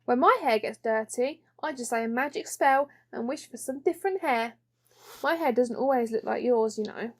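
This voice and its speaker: A woman's voice: fairly high pitch, moderate pace. A woman voicing a bossy, boastful young princess with a haughty, grandly matter-of-fact manner in a children's storybook.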